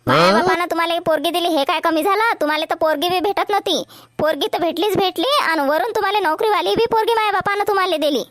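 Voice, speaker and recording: A woman's voice: very high-pitched, fast, a bit bright, no room sound, clean studio sound. A woman voicing a cheeky, boastful young village woman in a comedy cartoon, arguing in a Vidarbha Marathi accent. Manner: argumentative, self-satisfied.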